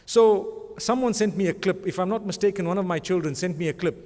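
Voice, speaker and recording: A man's voice: high voice, a little fast, darkish tone. A man presenting an award, speaking in a rambling, conversational way and often adding religious blessings. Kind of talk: speech at an awards ceremony. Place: large conference hall. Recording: long hall reverb.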